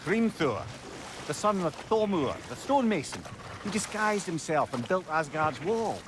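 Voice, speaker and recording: A man voicing a talkative, wise old companion in a video game, cheeky, excitable and articulate. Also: on the high side, normal speed, noisy background. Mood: curious, insistent. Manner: animated, articulate, opinionated. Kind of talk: dramatic video game acting.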